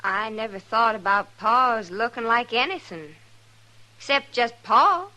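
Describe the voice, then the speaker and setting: A woman's voice: a little high, animated, unhurried, sharp tone, poor audio. A woman playing an earnest, naive young ranch girl with a rural American Western accent in a 1950s radio western. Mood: puzzled, artless. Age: young adult. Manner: plain-spoken, artless, literal.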